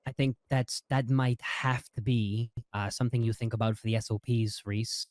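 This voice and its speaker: A man in a roleplay meeting, playing an ex-prosecutor who lays out legal advice in a measured, lecturing way with frequent restarts. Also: mid-range voice, fairly even intonation, darkish tone, no room sound. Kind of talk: in-character roleplay meeting talk.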